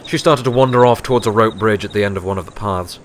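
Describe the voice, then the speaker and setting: Guy with a lowish voice reading a story out, audiobook style, noisy background. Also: fast talker. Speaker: audiobook narrator. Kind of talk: scripted story narration.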